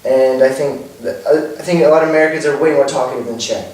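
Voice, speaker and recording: A man's voice: medium pitch, quick pace, darkish tone. A young man from California being interviewed, chatting casually with many fillers and slipping in a few foreign words. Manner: chatty, many fillers like "like" and "you know", drops Czech words. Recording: echoey room, voice far from mic, faint noise.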